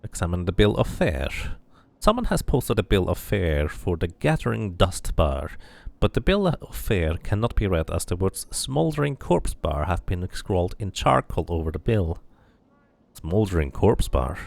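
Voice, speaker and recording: A man's voice: low voice, big pitch swings, normal speed, vocal fry, darkish tone. A man reading game text aloud and commenting casually as he plays a role-playing game.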